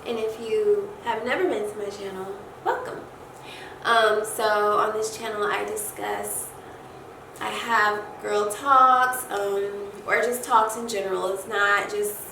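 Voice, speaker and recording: A young woman talks casually to her viewers in an echoey room, set well back from the mic. She sounds congested and speaks in a halting, conversational way, rephrasing her motivational advice as she goes. Clear noise sits behind her voice. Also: mid-range voice. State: congested from allergies. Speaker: host of a self-care advice channel. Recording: echoey room, distant mic, noisy background.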